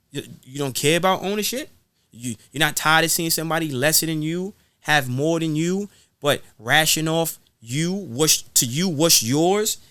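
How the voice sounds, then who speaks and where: Emphatic man, slightly high voice. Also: bright, forceful tone, clean tone, dry recording. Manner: emphatic, repeats phrases for emphasis. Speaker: guest rapper being interviewed. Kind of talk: impassioned, preachy podcast talk.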